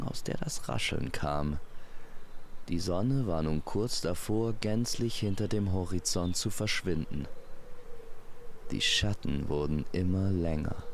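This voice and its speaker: Deep, slow-spoken male storyteller. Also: gravelly, background noise. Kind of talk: scripted fairy-tale narration.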